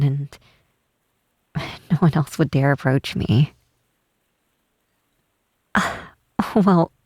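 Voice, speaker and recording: Woman performing a tall half-troll cleric lady, shy, wistful, tender, soft husky voice, unhurried hesitant delivery, ASMR roleplay, close mic. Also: deep voice, no echo, heavily acted. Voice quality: soft, breathy. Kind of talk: ASMR roleplay, intimate softly spoken acting. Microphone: close microphone, dry.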